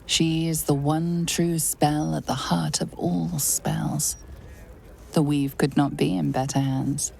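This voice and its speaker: Low, creaky fantasy game narrator. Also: female voice, fairly even intonation, slow pace, a little mellow, slight background noise, heavily acted. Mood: hushed, reverent. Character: calm, mysterious narrator of a dark fantasy game. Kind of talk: scripted second-person game narration.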